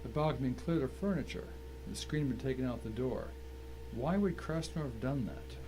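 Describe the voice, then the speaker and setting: A man's voice: mid-range voice, expressive, brisk, lightly acted. Guy playing a uneasy tennis pro telling his own story, medium voice, brooding, little bit of noise. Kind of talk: first-person dramatic story narration.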